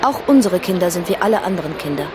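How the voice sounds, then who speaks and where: A woman's voice: lowish voice, expressive, fast talker, background noise, lightly acted. A woman playing a weary, unyielding mother in a stylised radio drama. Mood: stern, weary. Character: weary, unyielding mother scolding her children.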